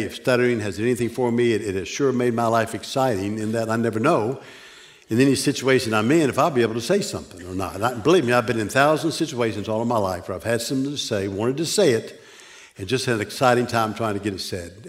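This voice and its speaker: An older man giving a sermon as a guest preacher, speaking with a stutter, frequent pauses and restarts, and dry self-deprecating humor. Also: medium pitch. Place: church sanctuary.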